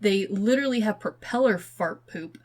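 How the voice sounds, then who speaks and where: Animated young woman chatting casually. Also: mid-range voice, moderate pace. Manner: animated, slangy, jokey exaggeration with frequent "like". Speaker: podcast co-host. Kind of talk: casual conversational podcast chat.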